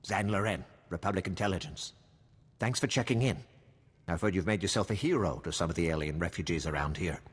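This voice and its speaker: A man's voice: low voice, fairly quick, slightly rough, big-room sound. A man voicing a weary, wry intelligence officer in a video game, briefing in a matter-of-fact, conspiratorial way.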